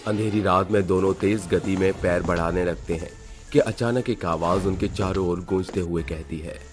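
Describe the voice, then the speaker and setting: Male horror-story narrator: low voice, scripted storytelling, faint music bed. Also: steady tone, moderate pace.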